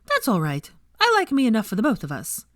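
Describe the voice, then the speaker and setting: A woman's voice: on the high side, wildly swooping pitch, fast talker, no room sound, clean studio sound, voice pushed up. A woman voicing a bubbly, nosy young woman in a story reading, sweetly teasing in a gushing, sing-song way. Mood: sweetly smug.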